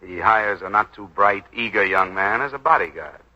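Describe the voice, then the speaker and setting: An actor playing a brash, guilt-ridden young bodyguard in a 1940s radio drama, wry and needling. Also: male voice, lowish voice, normal speed, lightly acted. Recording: dull lo-fi 1940s radio broadcast.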